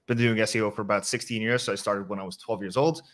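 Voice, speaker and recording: A man's voice: lowish voice, steady tone, fast, a bit bright. A man in his twenties from Estonia, a webinar guest, talking in an upbeat, direct way. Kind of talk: casual expert answers.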